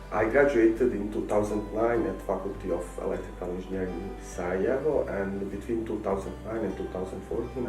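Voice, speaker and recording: A man from Bosnia and Herzegovina, a researcher, describing his work carefully with small pauses. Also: fairly low pitch. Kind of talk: interview to camera. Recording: echoey room from far off with music behind.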